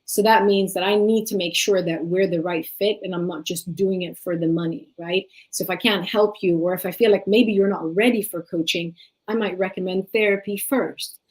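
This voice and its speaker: Brief: woman, low-pitched, Sweden-raised, Tigrinya first language, thoughtful coach in interview. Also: steady tone, low-quality recording. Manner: reflective, measured, uses many fillers. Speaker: life and leadership coach, guest. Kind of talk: interview conversation.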